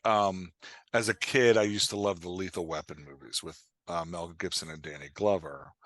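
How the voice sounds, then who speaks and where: A man talking as a coach and podcast guest, explaining things in an informal, animated way with acted-out example remarks. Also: on the low side, dry recording. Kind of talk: casual explanatory podcast interview.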